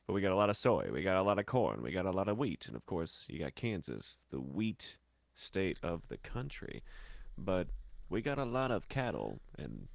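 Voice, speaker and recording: A man's voice: low voice, big pitch swings, normal speed, creaky, no room sound. An American man chatting casually as a guest, rambling and joking as he tells stories. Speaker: musician and guest on the show. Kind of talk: casual chat, storytelling.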